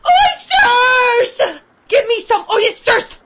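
A woman's voice: very high-pitched, sing-song, extremely slow, a bit bright, raised voice, pitched above the performer's own voice, full character voice. A woman voicing a starving, feverish small child, pleading desperately. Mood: desperate, crying.

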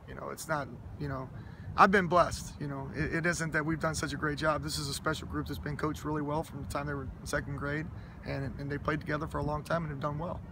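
Gravelly-voiced coach talking outdoors. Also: male voice, a little high, level tone, quick pace. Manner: earnest, humble, many fillers and restarts. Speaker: high school football head coach. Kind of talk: casual interview answers. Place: outdoors by a school stadium. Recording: outdoor noise and passing traffic.

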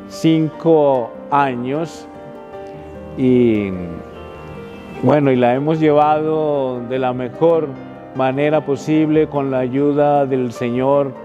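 An older man with a Colombian Spanish accent, a parish priest and friar, speaking in a measured, solemn way inside a church. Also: medium pitch, dark tone, lo-fi sound. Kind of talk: welcoming address to camera. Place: inside a church, before the altar. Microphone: close camera interview framing.

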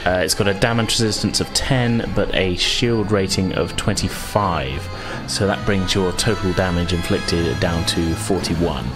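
A man with a low voice acts as game master at a tabletop game. He alternates between rules talk and narrated space combat, with music clearly playing underneath. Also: a little slow, hardly any pauses.

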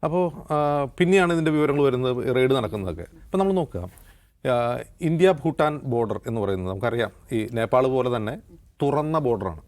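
A man with a Kerala accent talks through current affairs on a discussion show. His delivery is fast and detailed, in an explanatory style at a medium pitch. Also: lively intonation, a little mellow. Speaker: news anchor and panelist on a news discussion show.

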